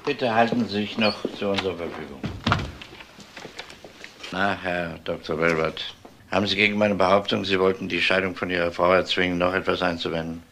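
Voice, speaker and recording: An actor playing a methodical, world-weary police detective in a crime drama, questioning in a measured, insistent way. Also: male voice, low voice, fairly even intonation, sharp tone, a little hiss or hum. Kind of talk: German TV crime drama dialogue.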